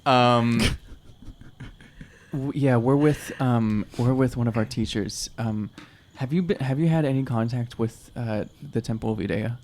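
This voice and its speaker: A man improvising casually as a tabletop game player, in a halting, hesitant manner. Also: medium pitch, darkish tone, slight background noise. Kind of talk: casual improvised role-play.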